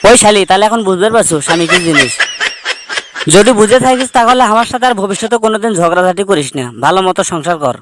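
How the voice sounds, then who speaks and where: A man's voice: high-pitched, animated, fast, noisy background. A man playing a bossy, bickering village husband in a comic cartoon, with a northern Bangladeshi accent. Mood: gruff, triumphant. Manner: scolding, blunt.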